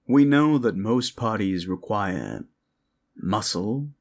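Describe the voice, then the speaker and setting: Slow, low-voiced man improvising roleplay. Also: expressive. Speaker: roleplay audio performer. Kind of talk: improvised fantasy ASMR roleplay, like a game master.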